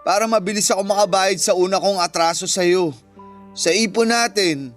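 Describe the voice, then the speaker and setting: A man's voice: high-pitched, moderate pace, a bit bright. A man with a Filipino accent playing a defensive, evasive boyfriend in a radio drama. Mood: guilty, confessing. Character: defensive, evasive boyfriend caught stealing savings. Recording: music under the voice. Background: background music.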